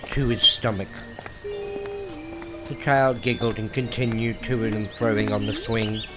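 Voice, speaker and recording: Slow, theatrical male storyteller over music. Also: mid-range voice. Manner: theatrical, deliberate pauses. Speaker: story narrator. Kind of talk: dramatic scripted horror story narration.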